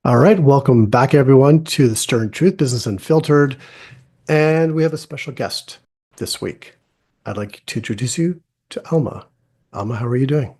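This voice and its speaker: A middle-aged man hosts a business podcast in a relaxed, chatty way. His voice sits at an everyday pitch for a man, and he stumbles and restarts now and then as he asks questions. Music plays clearly behind him. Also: moderate pace. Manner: plain-spoken, with small restarts. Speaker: podcast host. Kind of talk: casual interview chat.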